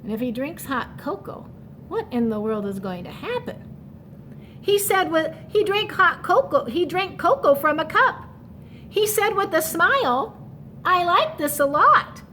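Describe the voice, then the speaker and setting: A woman teacher leading a children's read-aloud lesson, speaking in a deliberate, instructional way and repeating key words. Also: extremely high pitch, sing-song, a bit bright, slight background noise.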